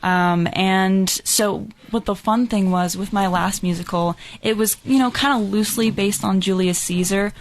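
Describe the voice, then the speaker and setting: A woman's voice: medium pitch, fairly even intonation, a little hiss or hum. A young adult woman, a musical's director and composer, chatting upbeat in an interview with many fillers. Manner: upbeat, quick, with many "like" and "you know" fillers. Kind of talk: casual interview chat.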